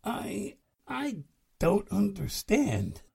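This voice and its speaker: A man playing a flustered, courteous husband under questioning, halting and defensive, in 1940s radio drama style. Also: sits high, huge pitch swings, creaky, a little mellow, slightly rough, exaggerated voice. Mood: uneasy.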